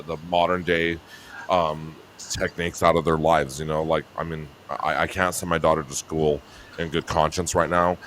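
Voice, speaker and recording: A man with a very deep, low voice chats easily, with frequent laughs and bursts into a higher voice when he imitates himself. A faint hiss of background noise sits under the recording. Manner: laughing, breaks into high shouty voice when mimicking himself. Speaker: guest on a talk show. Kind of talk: casual chat. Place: New Mexico home.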